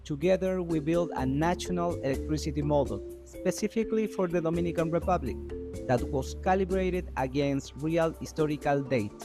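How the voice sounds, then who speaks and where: A man from Chile, an environmental economist, giving a steady, measured scripted narration for a video. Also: on the high side. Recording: video voice-over with music behind.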